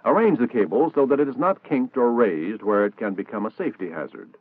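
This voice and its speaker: Male American narrator, formal and instructional, lively intonation, thin vintage band-limited soundtrack, 1960s military training film read. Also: mid-range voice, brisk, no echo, narrow, tinny audio.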